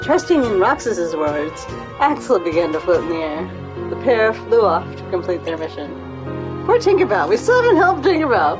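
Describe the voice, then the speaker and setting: A woman's voice: medium pitch, poor audio. A woman streaming a game, reading aloud and commenting casually, thinking aloud and checking herself with questions. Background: game music.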